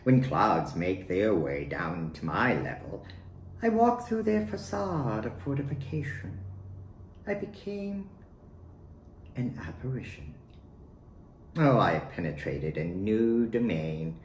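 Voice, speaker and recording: Slow, theatrical man reciting poetry. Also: lowish voice, animated. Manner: theatrical, deliberate phrasing with pauses. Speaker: poet reading own work. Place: at home, roomy indoor space. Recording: roomy echo, faint background noise.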